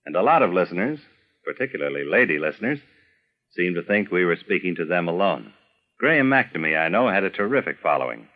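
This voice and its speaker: A man's voice: deep voice, very animated, a little fast, dull audio. An older American man, a veteran announcer, narrating radio memories from a script with careful, polished diction.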